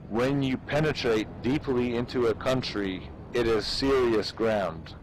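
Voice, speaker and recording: A man giving a solemn, deliberate dramatic reading of an old treatise in a declamatory manner. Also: medium pitch, slight background noise, poor audio. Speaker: reader performing a dramatic reading.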